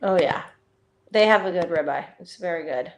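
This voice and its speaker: A woman's voice: on the low side, normal speed. A woman co-hosting a casual chat show, playful and wry, laughing often. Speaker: co-host.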